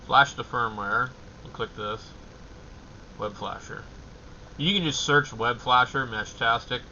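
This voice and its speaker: A man hosting a casual livestream walkthrough, thinking aloud in halting, fragmented short phrases. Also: medium pitch, bright, forceful tone, dull audio, slight background noise. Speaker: livestream host.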